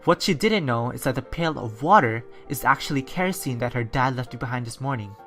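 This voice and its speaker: A radio narrator and newscaster reads in a steady, scripted manner at a middling pitch, like a school recreation of a 1930s broadcast. Soft music sits faintly behind the voice. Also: fairly quick. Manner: steady, read-from-script delivery. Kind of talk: read-aloud 1930s-style radio narration and news.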